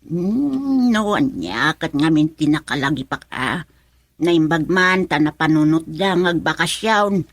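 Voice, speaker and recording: A woman's voice: low voice, animated, slow, dry recording, heavily acted. An actress playing a gossipy older mother in a radio drama, with an Ilocano accent. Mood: chatty, gossipy.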